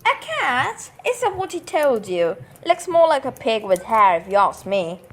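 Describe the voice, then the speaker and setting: A woman's voice: a little high, very animated, unhurried, a bit bright, heavily acted. A woman playing an indignant, blunt young teenage wizard boy in an audio drama. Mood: scornful. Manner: blunt, sarcastic.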